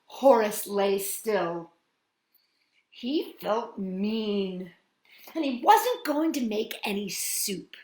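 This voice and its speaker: Slow playful lady reading to kids, really animated, bit of a roomy echo. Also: medium pitch. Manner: playful, expressive, drawn-out words with chatty asides. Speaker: children's storytime host. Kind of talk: animated picture-book read-aloud and children's singing.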